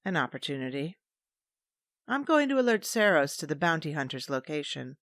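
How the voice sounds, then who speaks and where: A woman's voice: deep voice, big pitch swings, moderate pace, fairly bright tone, no echo, full character voice. An actress playing a cold, vengeful female commander who narrates and plots in a space audio drama. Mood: scheming. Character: cold, scheming vengeful woman, former Sith commander. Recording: dry studio with music underneath.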